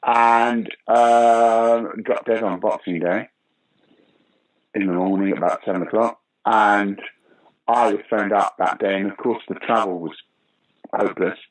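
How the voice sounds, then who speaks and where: Low-voiced man telling an anecdote. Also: a little slow, poor audio. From Britain. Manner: animated, acts out quoted lines, frequent fillers. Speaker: interview guest. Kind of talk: anecdotal storytelling.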